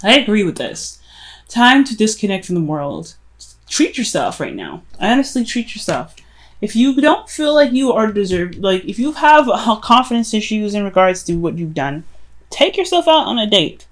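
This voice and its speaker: A woman's voice: mid-range voice, sing-song, moderate pace. A woman doing a casual tarot reading, speaking straight to the listener in an earnest, encouraging way with emphatic repetition. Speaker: tarot reader. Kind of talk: casual spoken tarot reading addressed to the viewer.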